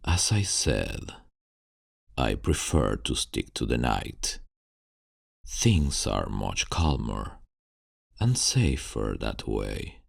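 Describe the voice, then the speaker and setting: A man playing a mysterious, courteous, brooding vampire in an ASMR roleplay, speaking in formal, deliberate phrases with a deep, gravelly voice. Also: sing-song, no echo, clean studio sound, exaggerated voice. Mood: grave, chiding. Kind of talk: ASMR roleplay, slow scripted monologue.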